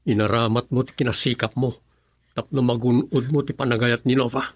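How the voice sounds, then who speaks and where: A man's voice: mid-range voice, steady tone, brisk, no echo, studio quality, close to an everyday voice. A man playing an earnest, solemn young suitor with a reproachful edge, in radio drama style with a Filipino accent.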